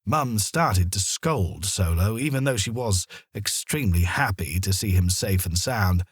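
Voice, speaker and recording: Brief: male children's narrator, expressive and animated, slightly rough-edged voice, close dry sound. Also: fairly low pitch, a little slow, no room sound. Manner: dramatic, expressive storytelling.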